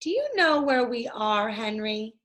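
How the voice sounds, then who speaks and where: A woman's voice: fairly high pitch, measured pace. A woman voicing an eager, trusting, insistent young girl who loves animals, in a children's storybook. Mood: gentle, curious. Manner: insistent, excitable.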